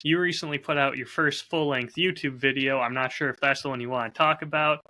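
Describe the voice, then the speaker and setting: Man with a very bright, forceful voice. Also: mid-range voice, steady tone, brisk, a little hiss or hum. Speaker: podcast host and audio drama creator. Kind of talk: casual chat.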